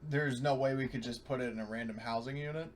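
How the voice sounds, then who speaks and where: A man's voice: mid-range voice, steady tone, fast, slight background noise. A man chatting casually and a bit profanely as a player in an online tabletop game.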